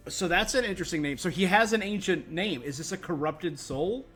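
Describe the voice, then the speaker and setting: A man's voice: high-pitched, fairly quick, fairly bright tone, faint music behind. A man livestreaming a game with casual commentary on its lore, thinking aloud as he goes.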